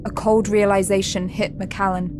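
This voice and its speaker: Female narrator actress for sci-fi thriller audio drama: grave, dramatic, medium pitch, heavy score underneath. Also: level tone, normal speed, lightly acted. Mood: ominous. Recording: prominent dramatic music under the voice.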